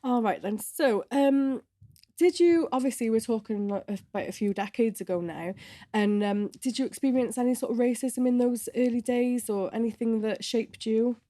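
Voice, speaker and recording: A woman host with a fairly high but mellow voice introduces her guest and asks questions. She prompts gently and keeps the conversation moving. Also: moderate pace, no room sound, studio quality. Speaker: podcast host. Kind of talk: interview questions.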